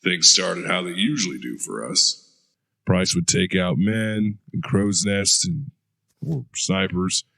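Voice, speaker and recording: A man's voice: low-pitched, takes it slowly, gravelly, pushed and bright, no room sound, exaggerated voice, low-quality recording. A man playing a hardened veteran soldier who talks in a blunt, profane way. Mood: gruff, recounting. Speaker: interrogated war veteran. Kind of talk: audio drama acting.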